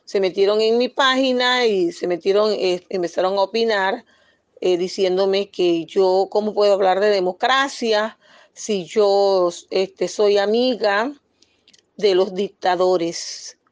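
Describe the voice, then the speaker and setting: A woman's voice: mid-range voice, slow, dry recording. A woman from Panama, speaking as an activist in a firm, insistent press statement with occasional hesitations.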